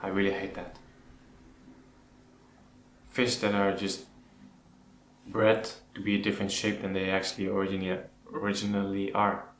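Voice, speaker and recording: A Dutch man with a fairly low voice speaks casually to his viewers, often pausing to think. His intonation stays very flat. The recording has a clear room echo and faint noise behind him. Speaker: host of an aquascaping channel. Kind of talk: casual question-and-answer talk to camera. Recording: roomy echo with background noise.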